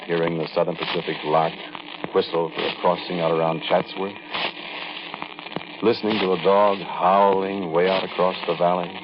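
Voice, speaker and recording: A crackly, muffled old radio recording carries a haunted, folksy man telling his strange story. His low voice moves freely up and down as he confides in the listener, halting and plain-spoken, in 1940s radio drama fashion. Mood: wistful, reminiscing. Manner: confiding, conversational, halting, addresses listener directly.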